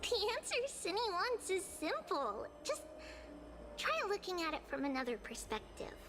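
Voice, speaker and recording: A woman's voice: extremely high pitch, wildly swooping pitch, moderate pace, exaggerated voice. An actress voicing a childlike little girl sage in a video game, encouraging and wise. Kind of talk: scripted game voice acting. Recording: music clearly behind the voice. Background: background music.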